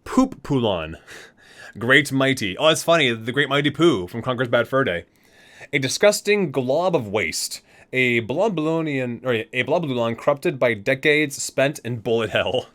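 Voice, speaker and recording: Man reading aloud ASMR-style, lively pitch. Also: measured pace. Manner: stumbles over words and corrects himself. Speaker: ASMR video host. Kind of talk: ASMR read-aloud from a game guidebook with casual asides.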